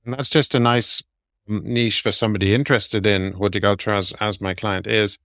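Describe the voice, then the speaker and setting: Low-voiced man explaining steadily. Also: steady tone, fairly bright tone, dry recording, clean studio sound. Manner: measured, explanatory, gives numbered advice. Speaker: guest, medical translator. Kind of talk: interview answers.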